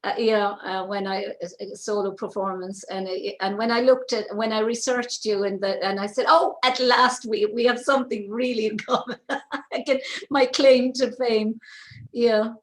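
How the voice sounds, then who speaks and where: A woman hosting an interview, chatty and quick to share her own stories. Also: on the high side, steady tone. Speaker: interview host. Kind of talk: friendly interview chat.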